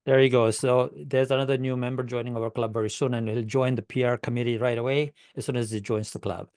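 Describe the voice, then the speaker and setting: A man's voice: mid-range voice, steady tone, fast talker, a little mellow, no room sound, studio quality. A man hosting an online club meeting, greeting guests in a friendly, upbeat way over a video call.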